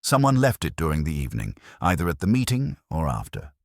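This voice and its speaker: A man's voice: very deep voice, wildly swooping pitch, a little fast, dry recording, studio quality, full character voice. A man reading the part of an observant, eager female caretaker in a mystery story, emphatic and matter-of-fact. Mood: eager, insistent.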